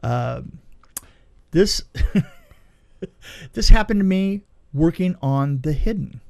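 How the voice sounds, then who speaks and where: Middle-aged male podcast guest, slightly high voice, informal storyteller who doubles back on his phrases for emphasis. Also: slow, creaky. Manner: animated retelling with repeated phrases and acted-out quotes. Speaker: film effects veteran, podcast guest. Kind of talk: casual anecdotal storytelling.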